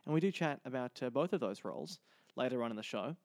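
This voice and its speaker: A man's voice: medium pitch, lively intonation, fast talker, dry recording. A man presenting and interviewing as a podcast host.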